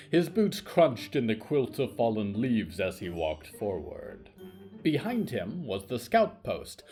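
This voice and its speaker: A man narrating scripted horror fiction in a theatrical, deliberate and ominous manner. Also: medium pitch, darkish tone.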